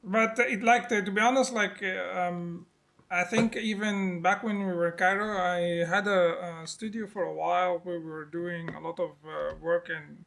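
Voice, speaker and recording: A man's voice: high-pitched, steady tone, moderate pace, vocal fry, a bit bright. A man, an indie game developer guest, talking casually with laughs and repeated starts. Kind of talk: casual interview chat.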